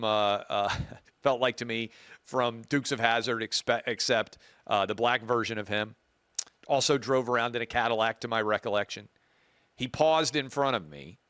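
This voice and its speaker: A man with a booming voice hosting a talk show, giving emphatic opinion commentary and repeating key points for effect. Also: a bit bright, no room sound.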